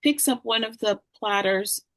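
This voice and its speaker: A woman's voice: fairly high pitch, a little slow, a bit bright, no room sound. A woman narrating the stage directions of a screenplay in a scripted read-through.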